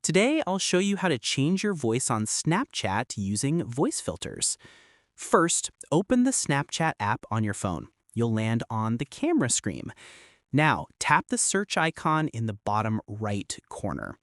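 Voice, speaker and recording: A man narrating a scripted how-to tutorial as its host, giving clear step-by-step instructions. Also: medium pitch, huge pitch swings, a little slow, no room sound, clean studio sound.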